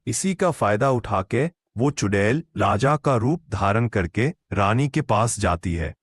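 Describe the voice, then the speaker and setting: A man playing a grave holy man recounting a cartoon tale in a measured, explanatory way. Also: on the low side, lively intonation, slightly rough, no room sound. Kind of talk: Hindi cartoon story narration.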